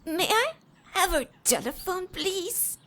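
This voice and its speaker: A woman's voice: extremely high pitch, very animated, slow pace, bright, forceful tone, heavily acted. An actress playing a sinister old lady in an audio drama, sweetly polite with menace underneath.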